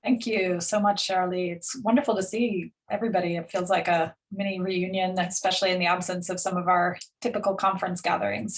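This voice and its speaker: Woman on a video call talking about a book, lowish voice, lots of ums, measured. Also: low-quality recording. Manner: measured, with frequent ums and soft laughs. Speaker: panelist at a book talk. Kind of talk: academic commentary read from notes.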